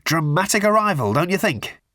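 A man voicing a manic, excitable male galactic hitchhiker who rants loudly and emphatically in an audiobook. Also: sits high, moderate pace, no room sound, clean studio sound, voice pushed up, full character voice. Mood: smug. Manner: loud, emphatic, indignant.

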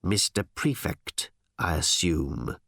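A man voicing a smooth, cold, pompous editor-in-chief in an audiobook, speaking in a deliberate, condescending manner. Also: low voice, sing-song, a bit bright, no echo, studio quality, pitched below the performer's own voice, heavily acted. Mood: cool, condescending.

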